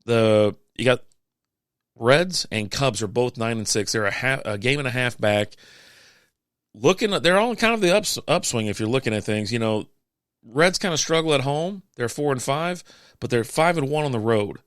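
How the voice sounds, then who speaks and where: A man hosting a podcast, reading out sports standings in a casual, chatty way with offhand quips, his voice going hoarse. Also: mid-range voice, animated, moderate pace, fairly bright tone, no room sound, studio quality. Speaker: podcast host.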